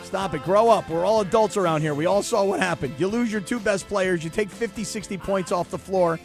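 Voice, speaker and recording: A man's voice: high-pitched, brisk, vocal fry, faint music behind. A man in his forties co-hosting sports talk radio, sounding exasperated and sarcastic, often mimicking others. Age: 40s. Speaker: radio show co-host. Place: radio studio. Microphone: studio microphone.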